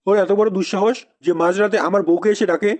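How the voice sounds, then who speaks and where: A man plays a blustering village husband in a comic cartoon feud. His voice is high and fast, full of dramatic outrage, with faint noise in the recording. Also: steady tone. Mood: outraged. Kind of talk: comedy cartoon character voicing.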